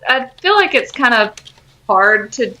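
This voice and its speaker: Woman, raised pitch, very bright voice, informal podcast co-host, slangy chat. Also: very animated, measured pace, slight background noise. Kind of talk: casual pop-culture chat.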